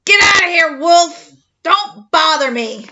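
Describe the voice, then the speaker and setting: A woman voicing a rude, grumpy male little pig from a storybook, yelling curt dismissals at a caller. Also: very high-pitched, lively intonation, slow, lo-fi sound, voice pushed up, heavily acted. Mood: rude, irritated.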